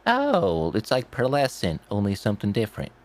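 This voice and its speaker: A man playing a tabletop role-playing game, chatting in character in a halting way. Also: fairly low pitch, wildly swooping pitch.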